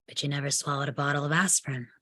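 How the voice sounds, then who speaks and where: Actress as guarded young female patient, table read, deep for a woman, questioning. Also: fairly even intonation, rapid-fire, fairly bright tone. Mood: guarded, probing. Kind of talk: live script table read.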